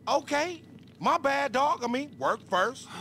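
An actor playing an excitable, motor-mouthed male hustler in a video game cutscene, slangy and pleading. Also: extremely high pitch, measured pace, a little hiss or hum, exaggerated voice. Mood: excited, boastful. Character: excitable scrawny street hustler pitching his homegrown weed.

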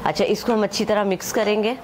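A woman talks through a recipe at a mid pitch. She speaks in a chatty, homely way and drops English measurements into her speech. A faint hum of room noise sits behind her. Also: fairly even intonation, fast. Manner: chatty, repeats "acha ji" and "ye dekhen", mixes English measures into Hindi-Urdu. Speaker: home cooking channel host. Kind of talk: casual step-by-step cooking instruction.

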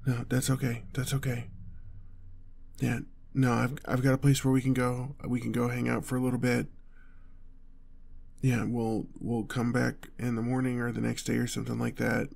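A man's voice: medium pitch, monotone, normal speed, gravelly, a little mellow. A man playing a protective, anxious boyfriend on the run, stammering and tender, in an intimate audio roleplay. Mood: relieved, affectionate. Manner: stammering, urgent, tender reassurance. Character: panicked, protective boyfriend fleeing a gunman with his partner.

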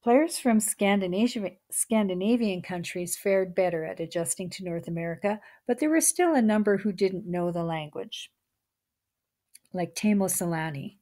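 A woman reads her own nonfiction book aloud in a steady, measured delivery. Her voice sits at an ordinary middle pitch for a woman.

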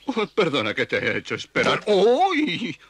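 A man's voice: high-pitched, huge pitch swings, unhurried, fairly bright tone, slight background noise, exaggerated voice. A man voicing a timid, fussy, henpecked beetle in a Spanish-dubbed children's cartoon, flustered and stammering. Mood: flustered, doting.

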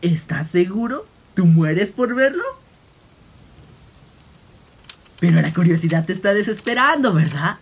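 A man's voice: sits high, lively intonation, moderate pace, gravelly, slightly rough, heavily acted. Man playing a manic, theatrical cartoon cat, wildly swooping. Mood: taunting. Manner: theatrical, florid, mock-philosophical. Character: manic, theatrical young male cartoon cat gangster, gleefully verbose. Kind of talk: animated fan comic dub. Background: rain.

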